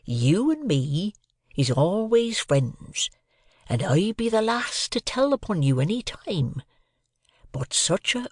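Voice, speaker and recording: A man reading aloud and voicing a kindly, simple working man who talks in a slow, earnest, homely way. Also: high-pitched, very animated, no room sound, clean studio sound, pitched above the performer's own voice, heavily acted.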